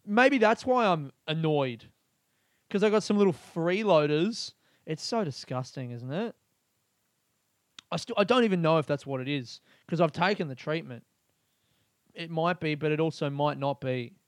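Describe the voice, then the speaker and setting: A man's voice: fairly high pitch, moderate pace, dry recording, clean studio sound. A man hosting a podcast, rambling through a casual comedic monologue and swearing freely while he laughs at his own jokes. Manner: crude, swears a lot, laughs often; animated, rambling storytelling. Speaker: podcast host.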